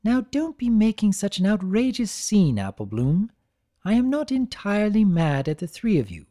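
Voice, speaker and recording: A woman's voice: mid-range voice, sing-song, normal speed, mellow, pitched above the performer's own voice, close to an everyday voice. A woman voicing a prim, theatrical adult mare fashionista who talks in elaborate, dramatic sentences. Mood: relieved, fussy. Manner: posh, elaborate, dramatic diction.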